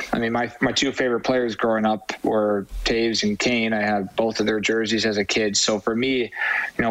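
A man's voice: on the low side, rough recording. A man in his twenties who plays pro hockey, answering casually as an interview guest. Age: 20s.

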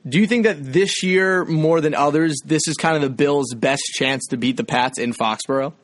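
A male sports podcast host with a fairly high-pitched voice, recorded dry with very little room sound. He talks informally, putting questions to guests in a loose, conversational style. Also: normal speed, no echo.

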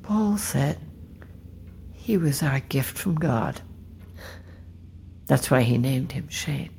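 Slow, low-voiced grieving farm widow. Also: female voice, lively intonation, creaky, slight background noise, exaggerated voice. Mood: bitter grief. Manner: slow, deliberate, hushed. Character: grieving farm widow and mother, bitter, quietly menacing. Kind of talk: naturalistic audio drama acting.

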